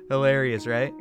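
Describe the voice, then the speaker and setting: A bitter, griping man, music behind. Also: mid-range voice. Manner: sarcastic, griping, profane. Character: bitter, foul-mouthed flat-earther video maker, jealous and defensive. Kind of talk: naturalistic audio fiction dialogue.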